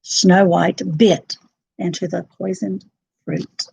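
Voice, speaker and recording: A woman narrating a children's storybook in an expressive, dramatic and deliberate style. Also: fairly low pitch, gravelly, husky, dry recording.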